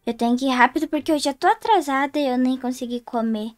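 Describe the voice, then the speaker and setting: A woman's voice: sits high, fast, dry recording, lightly acted. A woman voicing a naive girl who has suddenly become a young adult woman, chatty and thinking aloud with whiny sighs in a roleplay game story. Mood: weary. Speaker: roleplay player voicing Diana.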